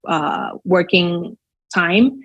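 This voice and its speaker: A woman from Shanghai with a Chinese accent, answering interview questions thoughtfully and filling pauses with "um" and "like". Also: lowish voice, lively intonation, fairly bright tone, husky, muffled recording. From Shanghai, China. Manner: thoughtful, many fillers like "um" and "like". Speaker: podcast guest.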